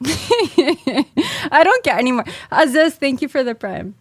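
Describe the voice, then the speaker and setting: A woman's voice: sits high, sing-song, slow pace, no room sound, clean studio sound. A woman with a Filipino accent, a livestreamer reading viewer confessions aloud and reacting bluntly. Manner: blunt and exasperated in reactions, mocking the writer.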